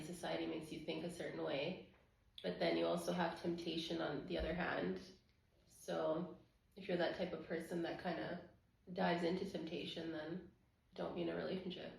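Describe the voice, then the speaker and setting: A woman's voice: fairly low pitch, level tone, normal speed, creaky. A woman chatting casually with her partner as co-host of a couple's channel, with a giggly manner. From Romanian background. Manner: giggly, laughs often while talking. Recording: very echoey room.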